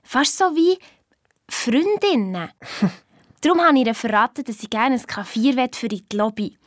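Sensible Swiss German girl played by a woman, high voice, chiding and bossy, children's radio play, close dry sound with faint music. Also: lively intonation, normal speed, no room sound, close to an everyday voice. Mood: chatty, pleased. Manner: chiding, matter-of-fact, colloquial. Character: sensible, bossy young girl from a hotel family. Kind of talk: first-person storytelling in a children's radio play.

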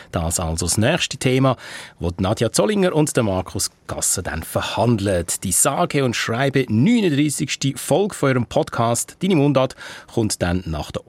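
Swiss radio presenter, man, husky voice, dry close sound. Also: lowish voice, dry recording. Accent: Swiss German.

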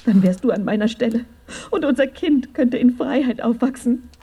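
An actress in a German radio drama playing a scheming jailed wife who pleads softly and tenderly as a fragile expectant mother. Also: a little high, lively intonation, normal speed, a little hiss or hum. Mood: tender, wistful. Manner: soft, breathy, half-whispered pleading.

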